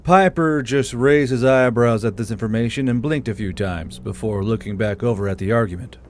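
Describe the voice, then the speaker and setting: A man's voice: mid-range voice, moderate pace, a little hiss or hum. A man reading scripted fantasy fiction as an audiobook narrator. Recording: background music under the voice.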